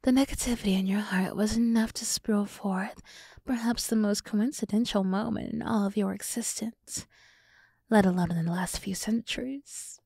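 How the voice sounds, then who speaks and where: A woman playing a sultry, teasing demoness in an ASMR roleplay, speaking in a soft half-whisper with slow, condescendingly soothing deliberation, close to the microphone. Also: mid-range voice, vocal fry, no room sound. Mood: amused, intrigued. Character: sultry, teasing demoness summoned to serve a human.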